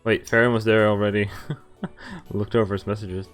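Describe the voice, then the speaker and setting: A man's voice: fairly low pitch, steady tone, normal speed. A man streaming a visual novel let's play, reading the text aloud and adding casual, offhand commentary of his own. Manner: mixes read-aloud narration with offhand reactions and asides. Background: game music.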